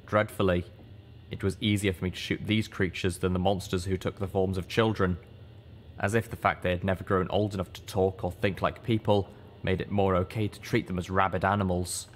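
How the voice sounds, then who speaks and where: A man reading first-person fiction as an audiobook narrator, with measured, dramatic storytelling. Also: deep voice, slight background noise.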